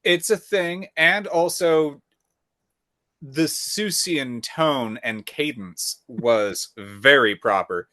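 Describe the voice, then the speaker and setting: Relaxed man in easy podcast chat. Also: mid-range voice, very slow, no echo, studio quality. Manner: relaxed, with fillers and trailing repeats. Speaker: podcast co-host. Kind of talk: casual chat.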